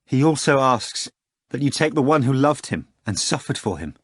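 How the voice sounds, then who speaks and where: A man's voice: mid-range voice, moderate pace, a bit bright, no room sound, clean studio sound, natural voice. A man voicing a proud, indignant male disciple who speaks earnestly and pleads.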